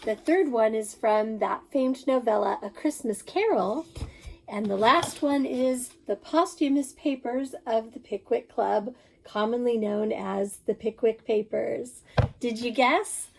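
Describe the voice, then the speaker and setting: A woman's voice: on the high side, a little slow, gravelly, poor audio. A woman speaking as an author, putting a trivia question to viewers in a direct, prepared way. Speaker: mystery author. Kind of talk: friendly quiz question to viewers. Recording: faint background noise.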